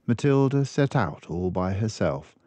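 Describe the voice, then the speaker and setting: Older man reading a storybook, animated low voice. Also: measured pace, no room sound. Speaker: storybook reader. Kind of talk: expressive children's book narration.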